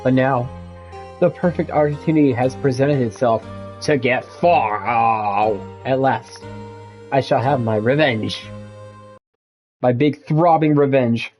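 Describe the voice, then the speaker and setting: A man voicing a vengeful, gloating villain in a video game with slow, melodramatic delivery. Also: mid-range voice, darkish tone, soft background music, natural voice.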